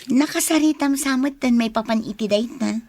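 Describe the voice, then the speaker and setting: A woman's voice: a little high, normal speed, a little mellow, no echo. A woman with an Ilocano accent playing a coy young woman in a radio drama, often drawing out her interjections. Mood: coy, casual. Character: Claire, coy young woman being courted. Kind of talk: Filipino radio drama acting.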